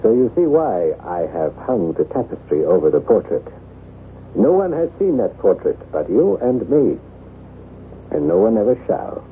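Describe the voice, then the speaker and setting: A besotted nobleman of a chateau in an old radio play, effusive and theatrical. His pitch swings widely, with a gravelly creak at the edges. The sound is thin and boxy, like a vintage broadcast. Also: very animated, moderate pace. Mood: uneasy, secretive. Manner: theatrical, effusive, gushing over his bride. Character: besotted newlywed lord of a chateau, haunted by guilt. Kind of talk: 1970s radio drama acting. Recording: tinny, band-limited old radio recording with hiss.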